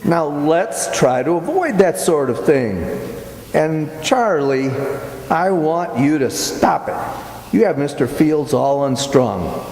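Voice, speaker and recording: A man's voice: on the high side, animated, slow pace, a little mellow. A man playing a patient, fussy, mildly scolding straight man in an old-time radio comedy. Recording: echoey hall, noisy background.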